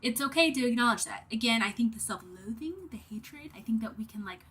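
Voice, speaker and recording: A young woman with a cold and slightly sniffly, talking candidly and rambling to camera in a reflective personal vlog, with many fillers and the odd soft whisper. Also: a little high, expressive, brisk, slightly rough. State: has a cold, a bit sniffly. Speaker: vlogger.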